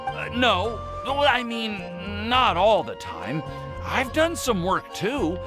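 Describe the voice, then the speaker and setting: A man's voice: high-pitched, sing-song, takes it slowly, heavily acted. A man playing an earnest, flustered young alchemist in a video game, hesitant but formal in his wording. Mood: sheepish. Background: faint game music.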